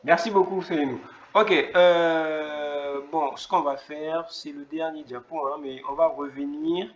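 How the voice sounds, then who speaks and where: A man's voice: on the high side, fairly even intonation, a little slow. Male speaking coach, directive and encouraging, slightly muffled echoey recording with faint noise. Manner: directive, encouraging, repeats words. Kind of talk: coaching a class.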